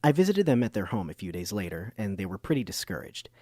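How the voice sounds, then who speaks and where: A man playing a curious, agreeable radio documentary host, measured and inquisitive. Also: fairly low pitch, big pitch swings, a little mellow, no echo, clean studio sound. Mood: wry narration. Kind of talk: public radio documentary narration, parody.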